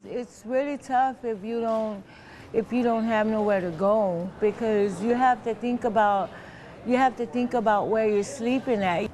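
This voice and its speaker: A woman's voice: medium pitch, darkish tone. A woman giving unscripted interview remarks.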